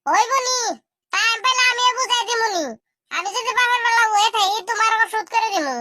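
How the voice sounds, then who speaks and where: A woman's voice: very high voice, fairly quick. A woman voicing a small, quarrelsome, grumbling schoolboy in a comedy cartoon. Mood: aggrieved. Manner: grumbling, indignant. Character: squeaky, aggrieved, quarrelsome little schoolboy. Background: loud music.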